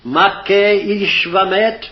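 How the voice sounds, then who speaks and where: A man's voice: high-pitched, fairly even intonation, moderate pace. A man formally reciting scripture phrase by phrase, on a dull, lo-fi archival recording. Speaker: scripture reader. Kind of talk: scripted Hebrew Bible reading.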